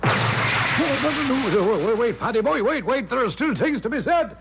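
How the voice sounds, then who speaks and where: An actor playing a blustering Irish gambler squire in a 1970s radio drama, with a stammering, theatrical delivery. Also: male voice, extremely high pitch, lively intonation, moderate pace. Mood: alarmed. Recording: dull, noisy 1970s radio broadcast.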